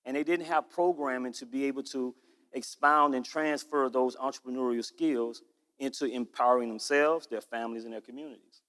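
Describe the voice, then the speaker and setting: A man in his forties delivers a rehearsed founder's pitch in an earnest, storytelling manner, stretching out key phrases for weight. His voice sits at an everyday middle pitch. The sound carries a long echo from a big hall. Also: steady tone, a bit bright. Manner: earnest storytelling with drawn-out emphasis. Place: stage in a large event hall. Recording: echoey hall.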